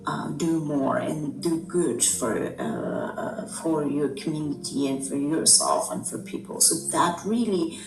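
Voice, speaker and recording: Low-voiced Afghan woman, hesitant speech. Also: big pitch swings, vocal fry. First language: Dari. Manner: thoughtful, many "um" and "uh" pauses. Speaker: guest. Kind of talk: conversational interview. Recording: echoey room, distant mic, music behind.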